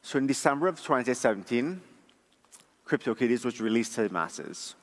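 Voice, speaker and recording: A man from Trinidad gives a prepared conference talk in a measured, conversational, explanatory way. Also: medium pitch, lively intonation. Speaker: conference speaker, front-end engineer. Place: large conference room. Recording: echoey hall, long reverb.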